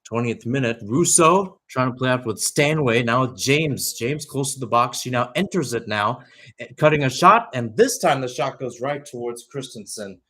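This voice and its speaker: Young guy doing live soccer play by play, medium pitch but swings around a lot, chatty analysis. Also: lively intonation, moderate pace, bright, forceful tone. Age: young adult. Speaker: radio play-by-play commentator.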